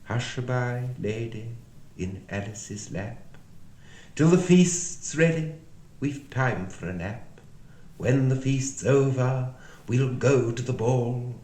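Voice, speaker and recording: A man's voice: medium pitch, lively intonation, slow, dark tone. A man voicing an imperious, patronising queen from a children's storybook. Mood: bossy, soothing. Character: bossy, brisk storybook chess queen, a grown woman. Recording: echoey room.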